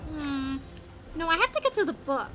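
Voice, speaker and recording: Very high, lively woman streaming a game. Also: normal speed, slight background noise. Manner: playful, exclamatory, thinks aloud. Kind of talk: casual gameplay commentary, chatting to viewers. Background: music behind the voice.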